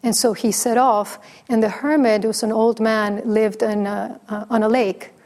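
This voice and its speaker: Reflective woman with slight vocal fry. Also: a little high, steady tone, a little slow, darkish tone. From Mexico. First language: Spanish. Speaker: dharma teacher. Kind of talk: dharma talk with storytelling.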